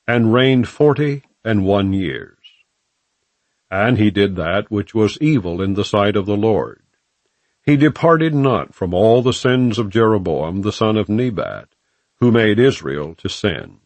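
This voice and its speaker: Low-voiced man solemnly reading scripture. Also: dry recording. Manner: solemn, measured, formal phrasing. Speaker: audiobook narrator. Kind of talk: scripted scripture narration, non-dramatized audiobook reading.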